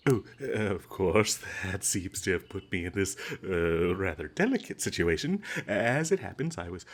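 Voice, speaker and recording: A man's voice: deep voice, expressive, moderate pace. Man playing a glib, nervous adventurer with swooping intonation. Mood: nervous flattery. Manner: hesitant, stammering, ingratiating, flowery and polite phrasing. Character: smooth-talking captured adventurer, nervous, flattering, glib male trespasser. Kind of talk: comedic fantasy audio roleplay, scripted monologue.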